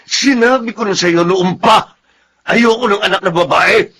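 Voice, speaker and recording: A man's voice: high-pitched, a little slow, pushed and bright, heavily acted. An actor playing a harsh, stubborn, bitter Filipino father in a radio drama, stern and confrontational. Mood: angry, defiant.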